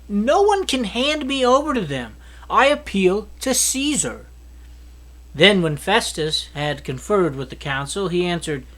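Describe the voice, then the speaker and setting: Man, high-pitched voice, formal Bible reading, deliberate phrasing, solemn delivery. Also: a bit bright. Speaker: Bible reader. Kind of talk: solemn scripture reading aloud. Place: small indoor study with bookshelf. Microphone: microphone on a stand at a lectern.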